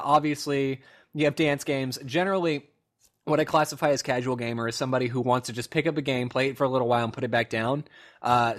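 A man hosting a casual gaming podcast, telling stories with animated asides. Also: medium pitch. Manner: animated storyteller, quick asides. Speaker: podcast host. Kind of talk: casual chat.

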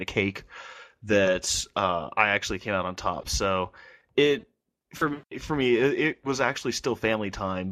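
A man co-hosting a podcast, telling a halting, rambling story in casual chat with many restarts. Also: on the low side, fairly bright tone, no room sound. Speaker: podcast co-host.

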